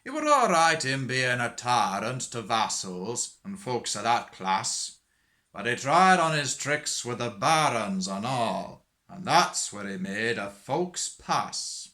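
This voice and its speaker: A man's voice: medium pitch, big pitch swings, slow, bright, forceful tone, full character voice. A man playing a droll working-class storyteller, reciting a rhymed comic monologue in a singsong, tongue-in-cheek way.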